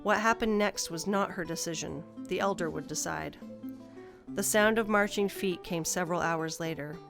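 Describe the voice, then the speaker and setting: A woman with a fairly low voice narrates a scripted fantasy tale. Soft guitar music plays faintly behind her as she reads. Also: moderate pace, creaky.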